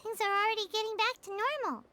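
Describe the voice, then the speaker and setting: A woman voicing a small, childlike fairy companion in a video game, squeaky, earnest and easily startled. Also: very fast, sharp tone. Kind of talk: English video game voice acting. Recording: game music underneath.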